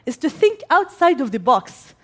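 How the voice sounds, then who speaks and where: A young woman from Gaza giving a prepared speech to an audience, speaking as an English speaker with pauses between phrases. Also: high-pitched, very animated. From Gaza, Palestine. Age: young adult.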